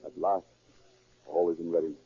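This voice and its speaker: A man's voice: low voice, steady tone, a little fast, slightly rough. A man narrating a crime drama in dramatic, scripted 1930s radio style. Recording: tinny, narrow 1930s radio recording.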